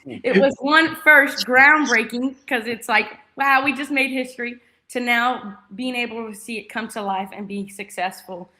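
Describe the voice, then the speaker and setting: Bright, high-voiced young American woman. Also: moderate pace, low-quality recording. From Texas, United States. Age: young adult. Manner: enthusiastic, effusive. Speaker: interview guest, former college soccer player. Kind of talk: casual interview chat.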